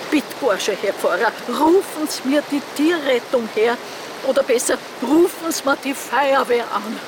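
An actress playing an earnest Austrian countrywoman in a radio play, pleading deferentially and urgently for help. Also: sits high. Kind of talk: radio play monologue, phone call. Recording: loud rushing water noise. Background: rushing flood water.

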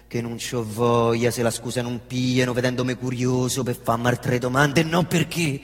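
An actor playing a cocky young male Roman slum youth and killer, with swaggering street slang and a Roman accent, swinging between defiant outbursts and low muttering. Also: fairly bright tone, in a large hall. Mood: aggrieved. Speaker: stage actor in a monologue. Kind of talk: theatrical monologue. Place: theatre stage.

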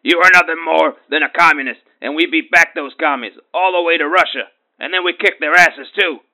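A man's voice: fairly high pitch, fairly quick, bright, forceful tone, poor audio. A man playing a ranting bigoted caller. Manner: ranting, threatening, pompous. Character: bigoted blustering middle-aged male radio caller.

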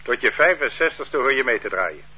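An actor playing a stern interrogator who accuses and bullies his suspect in a radio drama, with a husky voice and curt manner. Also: mid-range voice, sing-song, fairly quick. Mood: menacing. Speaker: interrogating official. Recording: dull lo-fi radio play recording.

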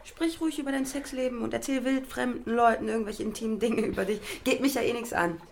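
A woman's voice: fairly high pitch, fairly even intonation, fast, vocal fry, a little hiss or hum. An actress playing a bitter, volatile former athlete in her thirties in a naturalistic audio drama, with a blunt and moody delivery. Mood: bitter, furious. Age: 30s. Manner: blunt, moody, self-deprecating.

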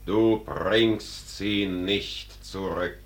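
An actor playing Death as a stern, implacable spirit in a solemn verse radio drama, imperious and curt. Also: male voice, sits low, fairly even intonation, extremely slow. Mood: stern, commanding.

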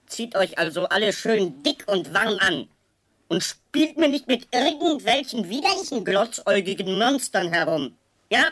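Scolding ship's computer, schoolmistress manner, easily hurt, mid-pitched, dry studio radio play. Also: female voice, very animated, normal speed, fairly bright tone, dry recording. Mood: prim, motherly. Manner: schoolmistressy, patronising, prim.

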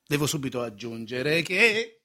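An actor in a radio drama playing a garrulous, sly, self-important court painter, speaking in a theatrical, emphatic and insinuating way. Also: male voice, mid-range voice, sing-song, measured pace, sharp tone. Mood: explanatory, confiding.

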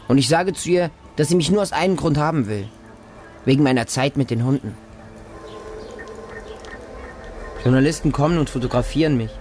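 A man's voice: a little high, darkish tone, slight background noise, lightly acted. Man as a distrustful, longing feral street boy. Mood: defiant. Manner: short, insistent, repetitive childlike sentences. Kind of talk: radio drama monologue, first-person narration.

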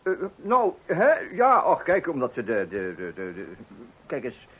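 A man's voice: mid-range voice, wildly swooping pitch, unhurried, fairly bright tone, slightly rough, full character voice. An actor in a radio play playing a flustered, weary father, hesitant, stammering and exasperated. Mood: flustered, evasive. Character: flustered, weary father awaiting a birth at night.

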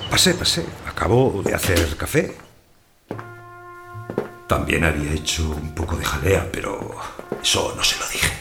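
An actor playing a wary householder who narrates his own story in a scripted audio drama, in a measured, observant way. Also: a bass voice, big pitch swings, full character voice. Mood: polite. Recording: echoey room sound, music behind.

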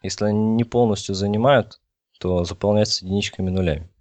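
A man's voice: fairly low pitch, fast, a little mellow, no echo. A man explaining things in an informal technical discussion, feeling his way through and checking that listeners follow. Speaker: podcast guest explaining telecom technology.

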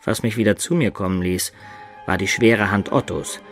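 A male actor in a radio drama playing an earnest, lovestruck adventurer of peasant birth, telling of his youth in measured, lyrical words. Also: lowish voice, soft background music, close to an everyday voice. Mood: wistful, reminiscing. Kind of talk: dramatic first-person narration in radio play.

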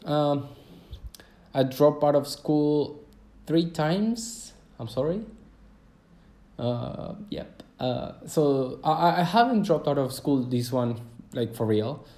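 A man's voice: a little high, animated, slow pace, dark tone. A man in his twenties from Argentina, speaking English as he hosts a casual solo podcast. He rambles hesitantly, with lots of fillers and restarts. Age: 20s. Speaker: podcast host.

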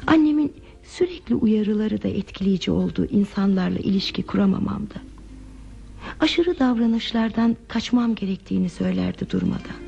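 An actress playing a lonely, withdrawn woman in a radio play, confiding her sad past in a hesitant, soft, reflective way. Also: medium pitch, gravelly, lightly acted. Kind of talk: Turkish radio theatre acting. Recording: muffled lo-fi radio drama with music.

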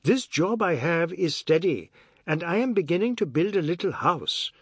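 A timid young man, played by a male narrator in an audiobook, with a raised, lively pitch. He explains himself awkwardly and evasively, as if hoping for approval. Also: normal speed, slightly rough, dry recording, clean studio sound. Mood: determined.

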